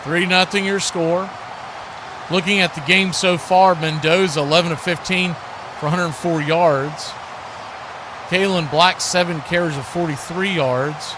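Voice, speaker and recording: A man's voice: a little high, fairly even intonation, unhurried, background noise. An American man doing live football play-by-play and chat as the host of a stream, with a folksy, conversational manner.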